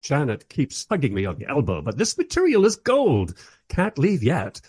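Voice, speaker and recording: An actor playing a wry, curious time traveller who narrates a radio play in the first person and speaks in its dialogue. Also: male voice, medium pitch, wildly swooping pitch, normal speed, a little mellow, slightly rough, no echo, clean studio sound. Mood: wry, amused. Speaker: narrator and lead character.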